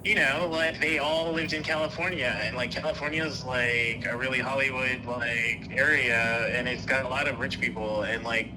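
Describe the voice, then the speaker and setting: A mid-pitched man talks casually and personally. His speech rambles and halts, often circling back over the same phrase. The recording carries plainly audible ambient noise. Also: fairly even intonation, normal speed, hardly any pauses. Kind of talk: casual personal phone conversation.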